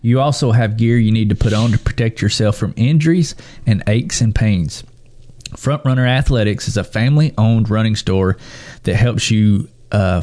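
Relaxed low-voiced male co-host, joking chat with lots of fillers. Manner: easygoing, joking, filler-heavy. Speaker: ministry founder and co-host. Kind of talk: casual chat and sponsor read.

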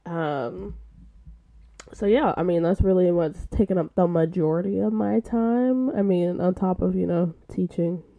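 A woman co-hosting a casual podcast chat, congested and stuffy, playful and quick to laugh. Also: on the low side, gravelly. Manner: laughing, playful, animated asides. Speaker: podcast co-host.

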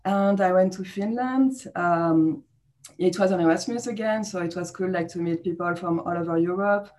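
A woman's voice: on the low side, normal speed, a little mellow. A woman in her thirties from France with a French accent, chatting casually as a podcast guest, laughing often and using many fillers. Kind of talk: casual interview chat.

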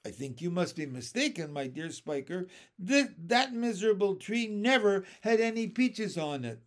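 A man's voice: sits high, very animated, moderate pace. A man voicing a fat, greedy old aunt with a pompous, fussy manner. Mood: scheming.

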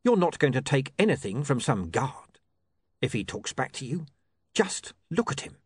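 A man voicing a smooth-talking criminal boss who persuades with wry patience and quiet menace. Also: medium pitch, sing-song, moderate pace, dry recording, pitched above the performer's own voice. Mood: coaxing.

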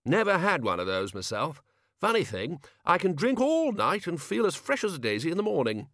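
A blustering old wizard chancellor, as a male narrator puts him on, booms his words out slowly and bluntly. The voice sits higher and louder than the narrator's own. Dry studio sound. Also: sing-song, no room sound, heavily acted. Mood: gruff. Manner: bluff, booming, drawn-out.

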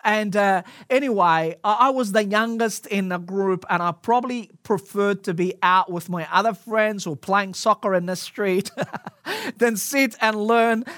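A man's voice: high voice, fairly bright tone, no room sound, clean studio sound. A man telling a personal story in a casual, hesitant way, full of fillers and restarts, speaking English as a second language. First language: a language other than English.

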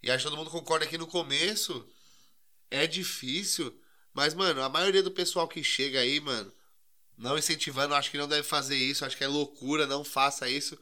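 A man's voice: a little high, fairly quick, pushed and bright. A young man, co-hosting a podcast and telling stories in a slangy, rambling way. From Brazil. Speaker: podcast co-host. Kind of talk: casual chat.

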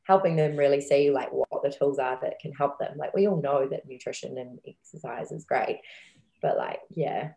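A woman who works as a nutrition coach, a guest chatting casually and agreeably, full of quick affirmations. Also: extremely low pitch, steady tone, gravelly, dark tone, echoey room, muffled recording. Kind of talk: casual interview chat.

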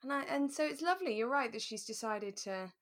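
A young British woman co-hosting a podcast in relaxed, reflective, conversational chat. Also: high-pitched, fairly quick. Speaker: podcast co-host. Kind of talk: relaxed chat reviewing a radio drama.

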